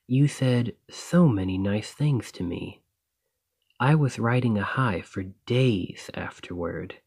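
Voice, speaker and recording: A man playing a devoted, gentle boyfriend in a romantic ASMR roleplay, soft and husky, with a tender, reassuring manner. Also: mid-range voice, dry recording, heavily acted. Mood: gentle, confiding.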